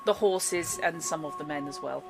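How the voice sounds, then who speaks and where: A woman narrating as game master in a chatty, explanatory way, with fillers and quick laughs. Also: low-pitched, vocal fry. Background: background music.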